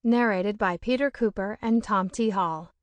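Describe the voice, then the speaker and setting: A woman's voice: medium pitch, a little slow, no room sound. A woman reading a scripted audiobook announcement.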